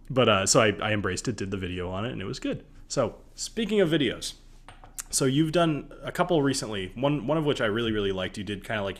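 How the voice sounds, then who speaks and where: A man's voice: on the low side, a bit bright. A man in his thirties hosting a live stream in a casual, rambling, jokey conversational way. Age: 30s. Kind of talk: casual chat about whiskey on a live stream.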